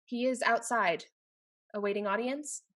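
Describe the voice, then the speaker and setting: A woman's voice: a little high, a little slow, fairly bright tone. A woman playing a clever young heiress in a period comedy, composed and formal as she feigns obedience. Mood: dutiful, persuasive. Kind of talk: scripted stage comedy acting.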